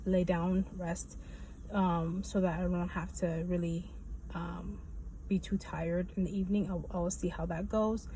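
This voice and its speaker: A woman's voice: fairly low pitch, mellow, rough recording. A woman talking casually in a personal vlog update, rambling and repeating her phrases as she goes. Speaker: video blogger giving a health update. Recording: faint background noise.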